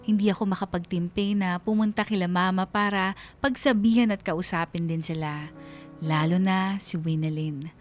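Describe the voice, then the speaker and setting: A woman's voice: fairly low pitch, fairly even intonation, normal speed, soft background music, close to an everyday voice. A woman playing a troubled elder sister, earnestly narrating her story in a Filipino radio drama. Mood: troubled, concerned. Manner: earnest, concerned.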